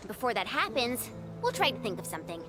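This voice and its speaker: A young female frontier relief helper in a video game, her voice fairly high, earnest and upbeat. Music plays clearly behind her as she speaks. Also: big pitch swings, quick pace. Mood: resolute. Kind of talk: video game cutscene acting.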